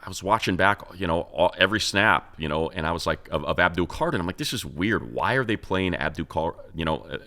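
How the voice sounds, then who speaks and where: Very deep-voiced man talking football. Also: lively intonation, fast talker, hardly any pauses, sharp tone. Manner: conversational, thinking aloud, repeats himself. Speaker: sports talk show co-host. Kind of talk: casual football analysis.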